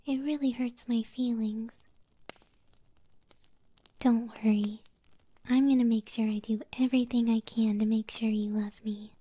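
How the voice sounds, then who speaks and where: A woman voicing a possessive, doting moth monster girlfriend in a soft, sweet, breathy ASMR whisper, close to the microphone. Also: on the high side, little pitch movement, unhurried, long pauses. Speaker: ASMR roleplay performer.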